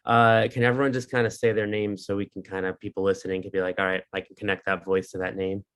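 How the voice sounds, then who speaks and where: A man's voice: low voice, level tone, quick pace, fairly bright tone, clean tone. A man hosting a music podcast from his apartment, chatting casually with lots of ums and likes. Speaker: podcast host interviewing a band. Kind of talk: casual interview chat over video call.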